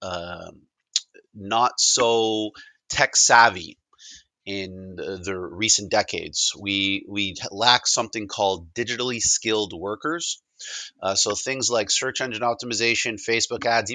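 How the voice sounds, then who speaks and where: A man's voice: lowish voice, measured pace, pushed and bright, dry recording. A man with a Canadian accent giving an informal, plain-spoken explanatory presentation in a conversational way. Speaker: presenter, digital and AI consultant.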